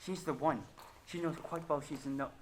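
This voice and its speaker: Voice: mid-range voice, normal speed, echoey room, natural voice. An actor playing a blunt, suspicious, jumpy male detective in an amateur stage play. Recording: faintly noisy student stage recording.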